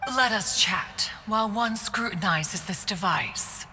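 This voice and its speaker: An aloof, caring immortal inventor in a video game. She speaks slowly and grandly in archaic, formal phrases. Her bright voice rings in a long reverb over music. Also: medium pitch. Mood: stately, composed. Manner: formal archaic speech, refers to self as "one". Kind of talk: scripted video game cutscene acting.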